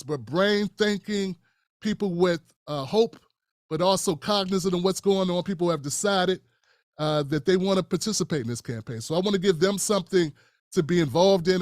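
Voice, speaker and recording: A man hosting a live-stream interview, speaking in a measured way with frequent fillers and restarts. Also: high-pitched, dry recording, studio quality. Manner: measured, many fillers and restarts, calls guest brother. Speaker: host.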